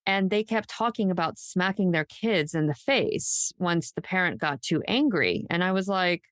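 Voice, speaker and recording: A woman co-hosting a podcast in casual true crime discussion, thinking out loud in an emphatic, conversational way peppered with "like". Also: lowish voice, lively intonation, normal speed, dry recording, studio quality. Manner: conversational, speculative, emphatic, says "like" often. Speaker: podcast co-host.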